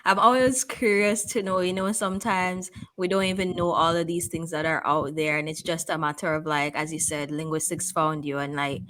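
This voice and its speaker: A woman's voice: sits low, fairly even intonation, normal speed, few pauses, a bit bright, a little hiss or hum. Bubbly Jamaican woman host. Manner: bubbly, laughs often, enthusiastic. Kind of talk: casual interview chat.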